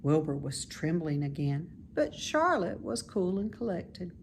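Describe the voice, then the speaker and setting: A woman reading a children's story aloud in expressive narration. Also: fairly low pitch, measured pace, slight background noise. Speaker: storybook reader.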